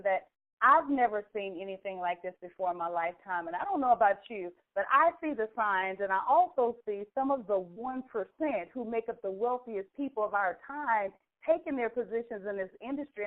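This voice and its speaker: A woman hosting a conference call, delivering a persuasive, scripted sales pitch with storytelling, emphatic phrasing and rhetorical questions. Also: lively intonation, brisk. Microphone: telephone. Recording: thin narrow phone conference line.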